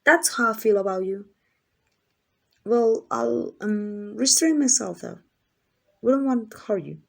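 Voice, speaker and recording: Woman acting a high-voiced, doting yandere kidnapper. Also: a little slow, creaky. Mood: gushing affection, then sheepish, eager. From Mexico. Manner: gushing, coaxing, affectionate. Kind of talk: ASMR roleplay acting.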